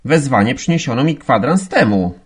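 A man's voice: medium pitch, sing-song, moderate pace, breathy edge, voice pushed up. A man voicing an irritated, defiant young student protesting indignantly in an audiobook. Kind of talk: audiobook character acting.

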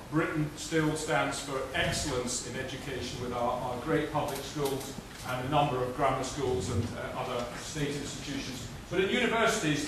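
A middle-aged Scottish man giving a brisk, assured prepared speech at a conference lectern, with frequent filler restarts. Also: a little high. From Scotland. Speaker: conference speaker. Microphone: lectern mic recorded by a far camera. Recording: echoey hall from far off, noisy.

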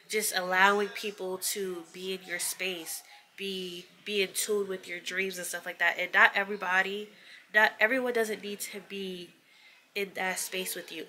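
A woman's voice: medium pitch, a little hiss or hum. A woman in her twenties with a stuffy nose from allergies, chatting casually to the camera in a small bedroom. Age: 20s. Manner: relaxed, chatty, many fillers. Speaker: vlogger. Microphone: camera close up on a desk.

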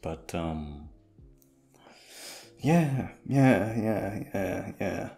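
A man with a deep voice, sleepy, rambling casually to his listeners in an offhand, hesitant, sweary way. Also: animated, drawn-out words, gravelly, mellow, faint music behind. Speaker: audio creator talking to listeners.

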